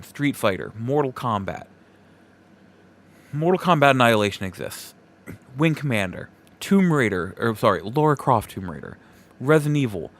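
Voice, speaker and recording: A man hosting a solo podcast, giving casual opinion commentary in a halting, emphatic way, repeating phrases for effect. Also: mid-range voice. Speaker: podcast host.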